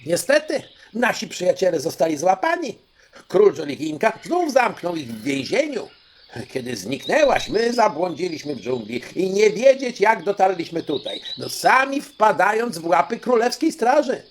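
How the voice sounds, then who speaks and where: A storybook parrot, female, bossy and sharp, acted out by a man. The voice sits high above normal talk and jumps about in animated swoops. It sounds self-important and always ready to argue. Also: normal speed, slight background noise. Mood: urgent, upset.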